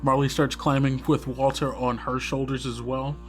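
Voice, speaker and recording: A man's voice: medium pitch, flat delivery, normal speed. A man narrating an actual-play tabletop game as game master, descriptive and a little hesitant in his storytelling. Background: guitar music under the voice.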